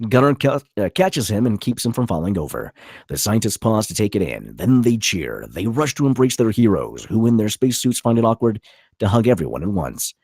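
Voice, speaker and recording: A man with a low, rough-edged voice narrates stage directions from a screenplay at a table read. His pitch moves around in an animated way, and the sound is dry and close. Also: brisk, no echo.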